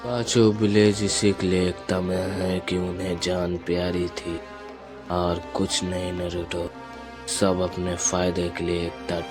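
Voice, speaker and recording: A man's voice: low-pitched, little pitch movement, measured pace. A man voicing a brooding, power-hungry young ninja rival with cold, menacing declarations, in an amateur anime fan dub. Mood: cold, defiant. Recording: music behind the voice.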